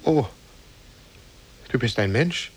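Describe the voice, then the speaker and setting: A man's voice: mid-range voice, expressive, slow pace, breathy edge. An actor playing a humble, sorrowful man in an Arabian tale, subdued and formal, in a 1950s radio drama.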